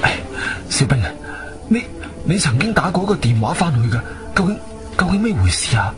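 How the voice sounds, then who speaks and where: An actor playing an earnest, concerned adventurer-investigator, both narrating and speaking in a Cantonese radio drama. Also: male voice, fairly high pitch, sing-song, fairly quick, music in the background. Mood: uneasy.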